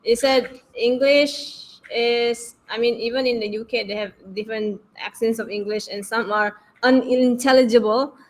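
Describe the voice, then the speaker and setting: Woman, slightly high voice, interview host, conversational, thinking aloud. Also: fairly even intonation, normal speed, few pauses, slight background noise, poor audio. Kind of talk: casual interview chat.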